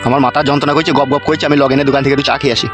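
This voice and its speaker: An older, henpecked cartoon father-in-law, played by a man who pleads and placates. He has a mid-range voice and talks very quickly, with music clearly behind him. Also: medium pitch, fairly even intonation, lightly acted. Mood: placating. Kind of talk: comic cartoon dialogue.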